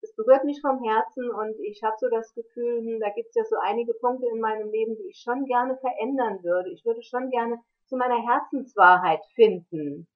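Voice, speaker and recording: A woman interviewing a guest, asking thoughtful questions and murmuring soft affirmations. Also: fairly high pitch, moderate pace, few pauses. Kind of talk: interview host, warm questions. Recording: tinny phone line.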